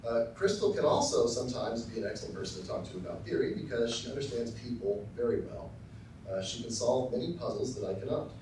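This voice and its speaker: A middle-aged man from Texas giving a formal acceptance speech with dry, self-deprecating humor, often pausing with ums and uhs. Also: mid-range voice, expressive, noisy background. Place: large lecture hall. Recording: very echoey hall, voice from far off.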